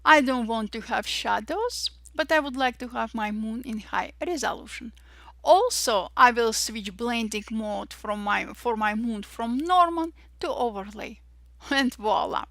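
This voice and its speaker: A woman with an Armenian-Russian accent guides each step in a slow, unhurried tutorial. Her voice is bright and a little high, and she sounds cheerful. The recording is close and dry, with no room sound. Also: lively intonation, creaky, no echo. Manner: cheerful, says "voila" and "perfect" often, slow deliberate steps. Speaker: Photoshop tutorial instructor. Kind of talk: step-by-step software tutorial narration.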